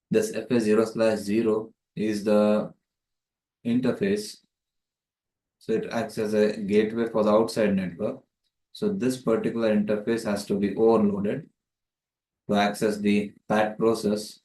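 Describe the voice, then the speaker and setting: A man's voice: fairly low pitch, warm tone. A man giving a methodical, step-by-step tutorial narration as a networking tutor, recorded with roomy echo on a distant microphone. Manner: methodical, explanatory.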